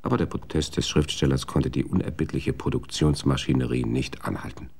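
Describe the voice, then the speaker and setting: Ein Mann erzählt ein Hörspiel gemessen und trocken. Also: male voice, very deep voice, fairly quick, vocal fry, darkish tone, a little hiss or hum. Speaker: narrator. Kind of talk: scripted radio drama narration.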